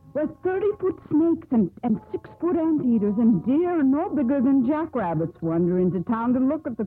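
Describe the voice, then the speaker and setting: An actress playing a headstrong, self-assured young captain's daughter telling her sea story in a 1950s American radio drama. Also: fairly high pitch. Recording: muffled lo-fi 1950s radio broadcast.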